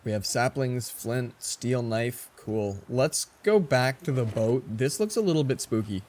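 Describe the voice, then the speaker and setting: A man's voice: medium pitch, animated, a little slow, darkish tone. A man in his forties giving casual gameplay commentary, rambling and chatty as he tells stories between moves. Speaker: let's play host.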